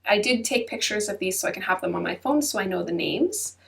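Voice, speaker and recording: A congested woman with a stuffy nose talks casually as host of her channel, filling her chat with ums and hedges. Her voice sits at an ordinary middle pitch. She sounds set a little back from the microphone. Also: lively intonation, brisk, creaky, a bit bright, recorded from a distance. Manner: chatty, many ums and hedges. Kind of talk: casual chatty flip-through voice-over. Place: at her computer.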